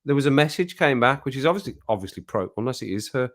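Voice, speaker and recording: A man's voice: medium pitch, lively intonation, quick pace. A man hosting a casual live stream from home over a drink, greeting viewers in the chat and laughing at his own jokes. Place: at home, living alone.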